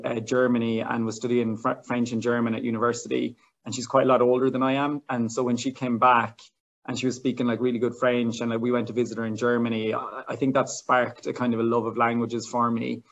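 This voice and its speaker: A man's voice: mid-range voice, gravelly, muffled recording. A man in his thirties with an Irish accent, a language teacher talking casually as an interview guest, with lots of fillers and restarts. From Ireland. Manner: chatty, many fillers and restarts.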